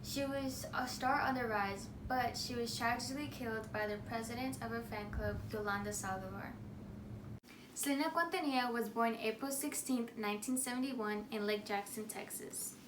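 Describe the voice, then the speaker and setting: A woman's voice: fairly high pitch, normal speed, recorded from a distance. Young woman giving a speech, echoey room. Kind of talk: presentation speech. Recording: echoey room, voice from off the mic, noisy.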